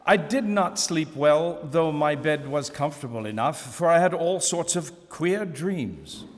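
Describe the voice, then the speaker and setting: Man reading aloud in a reverberant hall. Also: fairly high pitch. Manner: measured, expressive first-person narration. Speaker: reader. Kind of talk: reading a classic novel aloud at a live event. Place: museum event room.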